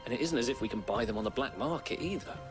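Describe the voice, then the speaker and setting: A man's voice: mid-range voice, expressive, quick pace, music in the background, lightly acted. An actor voicing a disgruntled common townsman in a video game, grumbling and resentful. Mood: bitter grumbling.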